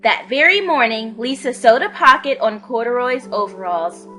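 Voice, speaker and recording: Lively, bright-voiced woman reading a storybook. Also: a little high, moderate pace, faint music behind. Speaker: storybook reader. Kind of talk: expressive children's read-aloud narration.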